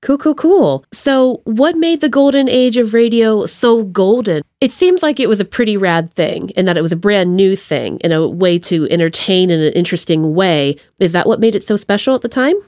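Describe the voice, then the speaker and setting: A woman hosts a casual interview podcast with a playful, enthusiastic manner. Her pitch swings in an animated way and she leans on easygoing slang. The recording is dry, with hardly any room sound. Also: moderate pace, hardly any pauses, no room sound. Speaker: podcast host interviewing a guest. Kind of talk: casual upbeat chat. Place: remote video call.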